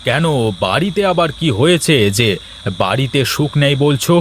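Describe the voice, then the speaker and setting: A man's voice: fairly high pitch, lively intonation, normal speed, a little hiss or hum, close to an everyday voice. A man voicing a reasonable village husband, loyal to his mother, in a Bengali cartoon story. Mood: coaxing.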